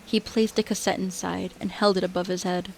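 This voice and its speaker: A woman's voice: fairly low pitch, level tone, a little fast, creaky, slight background noise, low-quality recording. A woman reading scripted narration for a radio drama as the storyteller.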